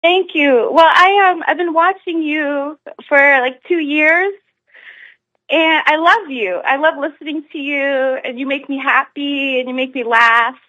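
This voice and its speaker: A woman's voice: high-pitched, steady tone, a little slow, sharp tone, no room sound, dull audio. An American woman calling in to a talk show, speaking hesitantly with many fillers and restarts. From United States. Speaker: caller. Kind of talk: phone-in call.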